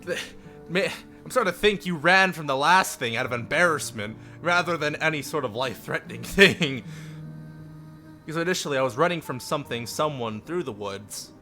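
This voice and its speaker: A man live streaming a visual novel with casual, animated, self-deprecating and profane commentary. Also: on the high side, normal speed, soft background music.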